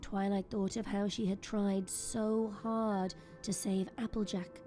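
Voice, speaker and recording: A woman's voice: mid-range voice, little pitch movement, takes it slowly. A woman reading scripted, dramatic story narration as the narrator of an audio drama. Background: soft music.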